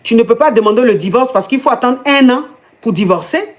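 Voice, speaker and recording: This is a woman with an Ivorian French accent who hosts a call-in advice show. Her voice sits somewhat high. She questions the caller over and over, stammering repeats as she struggles to believe the story. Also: animated, fairly quick. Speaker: host and coach. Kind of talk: live call-in advice chat.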